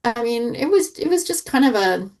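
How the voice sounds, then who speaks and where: A woman's voice: on the high side, big pitch swings, normal speed, a little mellow. A woman chatting casually as a panelist on a review podcast.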